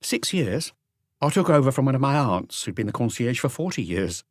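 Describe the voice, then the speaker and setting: An affable young woman concierge, voiced by a male audiobook reader, speaks in a lifted, lively voice. She is polite and chatty, giving helpful detail in a matter-of-fact way. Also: mid-range voice, moderate pace, dry recording, clean studio sound, pitched above the performer's own voice. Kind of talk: audiobook character dialogue.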